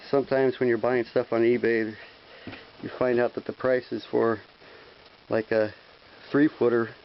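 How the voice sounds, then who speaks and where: A man's voice: medium pitch, gravelly. A man presenting an informal how-to, explaining step by step in a plain-spoken way, recorded on a handheld camera. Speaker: presenter of a hands-on how-to video. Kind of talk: informal hands-on tutorial. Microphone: handheld camera microphone. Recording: dull lo-fi handheld camera audio with hiss.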